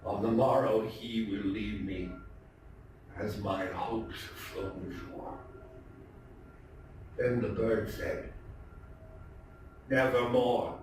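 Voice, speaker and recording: Slow, theatrical man reciting in an echoing hall. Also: lowish voice, steady tone. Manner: dramatic, deliberate, with long pauses and swells from hushed to loud. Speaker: stage actor performing a dramatic reading. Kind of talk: theatrical gothic poetry recitation. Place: indoor theatre stage, live performance. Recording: roomy, echoing live hall, distant mic, faint noise.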